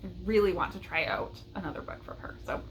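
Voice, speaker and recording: A woman's voice: fairly low pitch, very animated, fast, vocal fry, slight background noise. A woman chatting casually and enthusiastically as a book vlogger, with a light chuckle in her talk.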